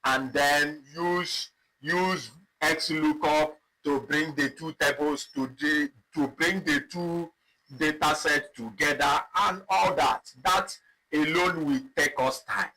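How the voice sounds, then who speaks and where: A man teaching a live online spreadsheet lesson, talking slowly and repeating himself as he clicks through data. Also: a little high, steady tone, pushed and bright, low-quality recording. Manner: slow, repetitive, thinking aloud while clicking. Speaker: instructor. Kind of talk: live online software tutorial.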